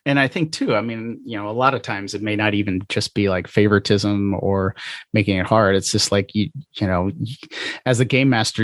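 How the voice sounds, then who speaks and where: Guy hosting a podcast, lowish voice, no room echo, casual and agreeable. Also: studio quality. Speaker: podcast host.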